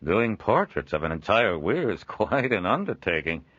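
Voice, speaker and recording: A booming, jolly middle-aged merchant, as a man puts him on, pitched up and loud with wide, bouncing swings in his voice. His talk is dry and dull in tone, close and unroomy. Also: big pitch swings, moderate pace, no room sound. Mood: jovial. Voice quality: hearty, booming, laughs often. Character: jovial middle-aged male traveling trader.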